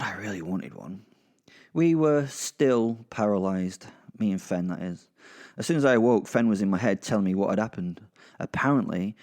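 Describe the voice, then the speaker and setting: Low, gravelly British werewolf played by a man. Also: darkish tone. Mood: wistful, admiring. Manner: rambling, sweary, sardonic asides to the listener. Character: crude, kinky, irritable male werewolf narrating his ordeal. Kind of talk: first-person comic horror audio drama narration.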